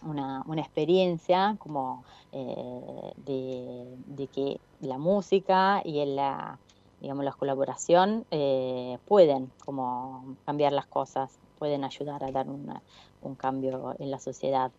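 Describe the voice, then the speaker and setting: A woman's voice: very deep voice, slow, warm tone, highs cut off. A woman from Italy with an Italian accent, a filmmaker answering interview questions hesitantly, with many fillers and restarts. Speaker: filmmaker guest. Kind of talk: casual interview.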